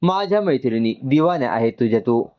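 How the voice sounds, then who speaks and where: A man voicing an excitable, chattery middle-aged mother in an audio storybook. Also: mid-range voice, fairly quick, dull audio, close to an everyday voice. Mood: excited.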